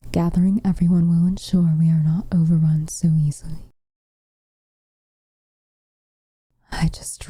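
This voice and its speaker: A woman playing a protective, brooding, weary but tender witch in an ASMR roleplay, speaking softly and gravely, often in a whisper, close to the mic. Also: sits low, no echo, full character voice. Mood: worried, resolute. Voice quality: soft, breathy, often whispering. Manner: measured, grave, formal phrasing. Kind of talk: ASMR roleplay, slow intimate acting. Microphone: close microphone, dry.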